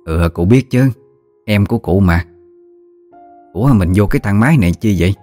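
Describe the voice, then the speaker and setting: A man's voice: fairly low pitch, animated, normal speed, dark tone, lightly acted. A man voicing a feisty old countrywoman, blunt and folksy, with a Southern Vietnamese accent, in a narrated story. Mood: amazed, chatty. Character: feisty old countrywoman, blunt, easily amazed, great-aunt. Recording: music behind the voice.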